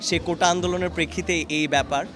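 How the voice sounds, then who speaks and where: Young man, slightly high voice. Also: fairly even intonation, fast. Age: 20s. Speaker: interviewee, exhibition visitor. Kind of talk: unscripted interview. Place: busy indoor gallery. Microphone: handheld reporter microphone. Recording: busy crowd noise around voice.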